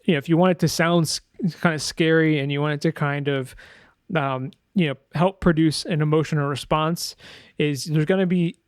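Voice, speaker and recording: Male tutorial narrator, slightly higher pitch, hesitant, informal, thinking aloud, very dry sound. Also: fairly even intonation, normal speed, clean tone, no echo. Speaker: sound designer giving a tutorial.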